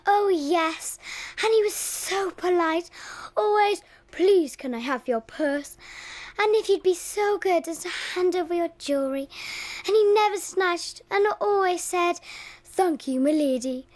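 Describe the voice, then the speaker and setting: Young woman as a very high-voiced smitten lady. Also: unhurried. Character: prim, smitten lady swooning over a highwayman. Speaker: drama student. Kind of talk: comic radio play acting.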